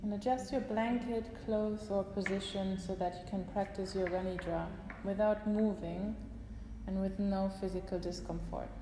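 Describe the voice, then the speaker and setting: A woman guiding a yoga nidra relaxation session, giving slow, calm instructions with long pauses. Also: mid-range voice. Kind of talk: guided relaxation meditation. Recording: roomy echo with long reverb, faint hiss.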